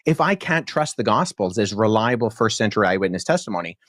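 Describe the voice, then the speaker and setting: Young male debate guest, low register, earnest and articulate, dry close mic. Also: lively intonation, fairly bright tone, no room sound. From born in Pakistan, childhood in Jordan. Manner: earnest and articulate, measured with frequent fillers. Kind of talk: podcast debate answer.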